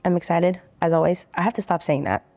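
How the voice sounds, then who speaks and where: A woman's voice: lowish voice, fairly even intonation, fast talker, mellow. A woman in her twenties chatting with her partner on their vlog, upbeat and earnest. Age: 20s. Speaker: co-host of couple channel. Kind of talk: casual chat.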